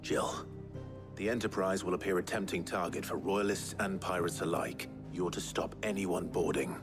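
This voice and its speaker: A brooding male warrior leader for a fantasy game. His voice sits low and he speaks with grave restraint. He commands quietly and resolutely, with music playing underneath. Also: normal speed. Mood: commanding, steady. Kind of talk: cinematic video game acting.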